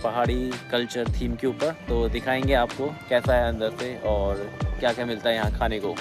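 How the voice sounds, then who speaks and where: A young man hosting a travel vlog, talking in a relaxed, chatty way into a phone held at arm's length. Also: mid-range voice, normal speed. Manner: relaxed, chatty, recommends to viewers. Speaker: vlogger host. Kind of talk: casual travel vlog talk. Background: music.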